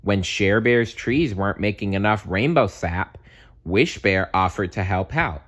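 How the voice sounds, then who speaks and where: A man narrating a children's story aloud with expressive, deliberate phrasing. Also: fairly low pitch. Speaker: storybook reader.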